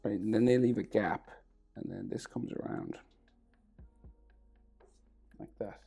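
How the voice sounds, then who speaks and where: A man with a sore throat and a crackly, gravelly voice, talking casually through a drawing tutorial, often trailing off to a near whisper. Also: lowish voice, slow, mellow, soft background music.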